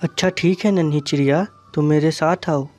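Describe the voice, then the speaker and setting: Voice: medium pitch, fairly even intonation, normal speed, darkish tone, soft background music, lightly acted. A performer voicing a brotherly parrot in a cartoon moral story, with a direct, questioning manner. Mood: reassuring. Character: brotherly parrot questioning a little lost bird.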